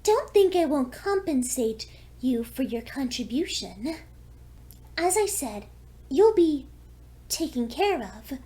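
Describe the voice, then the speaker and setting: Woman voicing a high, slow, seductive vampire mare. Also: very animated, warm tone, exaggerated voice. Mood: teasing, hospitable. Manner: slow, courteous, teasing. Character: seductive, lonely female vampire pony, sweetly predatory. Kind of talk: fan fiction audio story acting.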